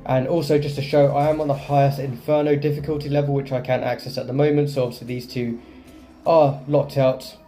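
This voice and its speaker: A man's voice: medium pitch, little pitch movement, fairly quick, dark tone. A man giving casual gameplay commentary as host of a gaming video. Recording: faint game music behind.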